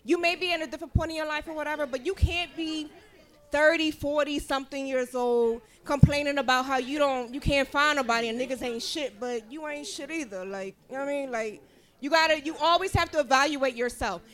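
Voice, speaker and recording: Middle-aged Philadelphia woman, high voice, bluntly lecturing a live crowd with salty humor. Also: a little fast, a bit bright. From Philadelphia, USA. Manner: blunt, profane, laughing, preachy. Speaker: podcast co-host. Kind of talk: live podcast talk before an audience.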